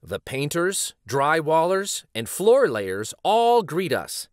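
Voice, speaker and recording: A middle-aged man reads a picture book aloud as host, in an expressive, dramatic manner. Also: fairly high pitch, slow, no echo, studio quality. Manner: animated, dramatic. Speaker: children's storybook reader and show host.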